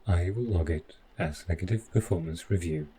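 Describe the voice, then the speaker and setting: A man's voice: low voice, moderate pace, mellow, slight background noise, lightly acted. A man playing a polite, devoted robot butler in an audio drama, speaking in a formal, stiffly precise and deferential manner. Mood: apologetic, formal. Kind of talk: scripted roleplay audio drama.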